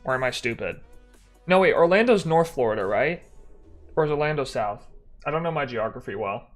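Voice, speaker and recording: A man's voice: mid-range voice, lively intonation, brisk, soft background music. A young man from Texas with a mild Texan accent, chatting casually on a livestream and restarting his sentences often as he rambles. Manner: rambling, self-correcting, chatty with chat. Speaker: streamer.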